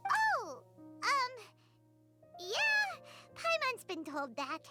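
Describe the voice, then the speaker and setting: A woman voicing a tiny, childlike fairy companion in a video game, chirpy, squeaky and outspoken. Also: huge pitch swings, extremely slow, heavily acted. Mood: sheepish, exasperated. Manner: squeaky, speaks of herself in third person. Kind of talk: scripted video game dialogue. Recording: loud game music behind.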